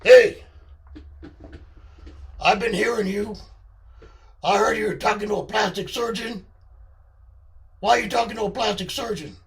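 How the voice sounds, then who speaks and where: Woman putting on a loud, menacing little male creature. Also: medium pitch, measured pace, gravelly, pauses often, distant mic. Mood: suspicious, confrontational. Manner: accusing, loud and forceful. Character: menacing, suspicious, aggrieved male eye lump.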